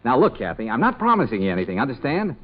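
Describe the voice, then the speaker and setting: Bossy scheming man, excitable, pitch all over the place, dull old radio sound. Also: rapid-fire. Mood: persuasive. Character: brisk, scheming, bossy matchmaker boss, an adult man. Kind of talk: old-time radio comedy acting. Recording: muffled old radio recording.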